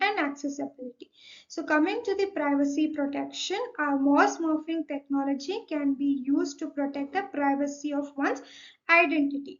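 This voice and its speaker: Young indian accent woman doing a methodical explainer presentation, pretty high voice, kinda muffled lofi mic. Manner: methodical, signposting each point. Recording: dull, lo-fi microphone sound.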